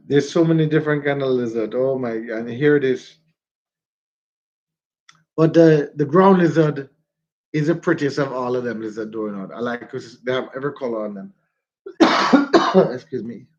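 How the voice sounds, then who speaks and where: A man with a stuffy nose chats loosely into his phone, rambling from one story to the next and laughing often. His voice sits at a middle pitch, and the audio is dull and lo-fi. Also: moderate pace, long pauses. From Jamaica. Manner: rambling, chatty, laughs often while telling stories. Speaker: vlogger. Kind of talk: casual livestream chat.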